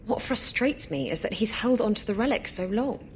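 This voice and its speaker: A woman's voice: mid-range voice, expressive, moderate pace, a little hiss or hum, lightly acted. A woman playing a brash, evasive female pirate rogue in a video game, with a glib, wheedling, flippant manner.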